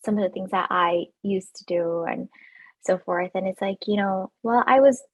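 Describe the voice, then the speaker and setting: A woman's voice: medium pitch, moderate pace. A woman with a Jamaican accent, a physician in her thirties, giving chatty, upbeat advice straight to camera with many fillers and restarts.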